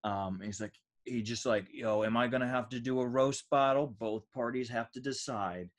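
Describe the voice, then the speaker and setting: A man's voice: medium pitch, moderate pace. An American man, a stand-up comedian, telling anecdotes casually in a rambling, self-deprecating way. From United States.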